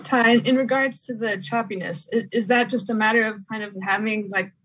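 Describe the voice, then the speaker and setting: A woman's voice: a little high, steady tone, moderate pace, a bit bright, a little hiss or hum. A woman working as a sports reporter, asking a question at a press conference. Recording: slightly distant mic.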